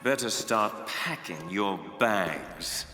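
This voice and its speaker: An actor playing a smug, mocking spirit villain who possesses a man's mind, speaking deliberately in a video game drama. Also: lowish voice, lively intonation, pushed and bright, full character voice. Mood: smug menace. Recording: heavy echo, long reverb, music behind.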